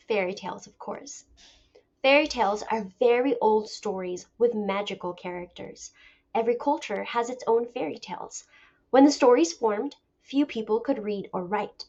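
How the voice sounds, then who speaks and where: A woman teacher reading a picture book aloud as a lesson, with deliberate, expressive storytelling. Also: fairly high pitch. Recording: dull lo-fi recording.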